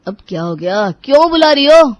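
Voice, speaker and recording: A woman voicing a lazy, irritable father who grumbles and complains in an animated moral story. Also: high voice, normal speed, no room sound. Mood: irritated, complaining. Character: lazy, grumbling grown man, father of two boys.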